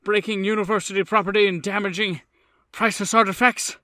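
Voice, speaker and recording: Man playing an indignant, high-pitched wronged professor. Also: fairly even intonation, fairly quick, sharp tone, no echo, clean studio sound. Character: indignant injured male university professor pressing charges.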